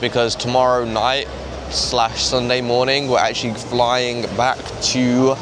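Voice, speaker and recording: A young man in his twenties talks casually and chattily straight to his viewers, with a middle-pitched voice. He's recorded on a handheld camera, and steady street and traffic noise comes through clearly behind him. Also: unhurried. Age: 20s. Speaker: vlogger. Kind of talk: casual vlog chat. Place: back seat of a car, then a busy mall.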